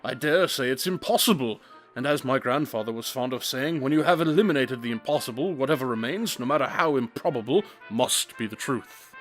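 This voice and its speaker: A man plays a brilliant, self-absorbed detective in a radio drama. He talks in a medium-pitched voice, reasoning aloud with brooding intensity and slightly formal, deliberate phrasing. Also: expressive, a bit bright, faint music behind, lightly acted. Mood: analytical, intense. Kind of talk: audio drama dialogue.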